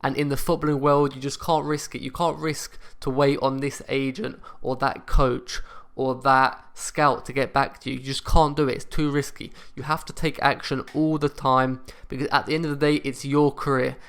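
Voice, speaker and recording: A young man hosting a football channel, giving direct, steady motivational advice to camera. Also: medium pitch, moderate pace, smooth. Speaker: football career coach and channel host.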